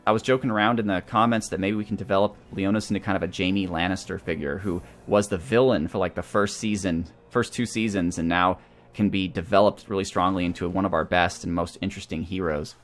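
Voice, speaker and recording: Low-voiced man doing casual game commentary. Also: fairly even intonation, brisk, faint music behind. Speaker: let's play host. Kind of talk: casual gameplay commentary, thinking aloud.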